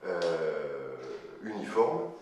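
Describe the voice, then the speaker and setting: Male interviewee, low-pitched, word-searching delivery, heavy echo, slightly off-mic, faint noise. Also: very animated, extremely slow, a little mellow, recorded from a distance. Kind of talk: interview testimony, spontaneous.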